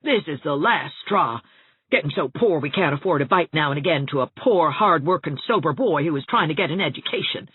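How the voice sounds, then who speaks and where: A woman's voice: deep voice, lively intonation, brisk, husky, no room sound, narrow, tinny audio, exaggerated voice. A woman voicing an indignant, bossy housekeeper in an audiobook, emphatic, scolding and folksy. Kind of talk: audiobook character voice.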